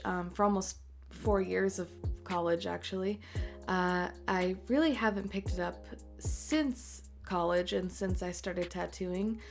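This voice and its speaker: A woman in her late twenties, an artist and tattooer, chatting in a voice-over over a speedpaint, raspy and congested from a cold, talking softly with many ums. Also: mid-range voice. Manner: soft, rambling, many ums and uhs. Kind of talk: voice-over chat during a speedpaint. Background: faint music.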